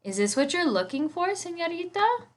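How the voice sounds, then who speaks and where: Woman as a brisk, animated laundromat manager. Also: very high-pitched, voice pushed up. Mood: helpful.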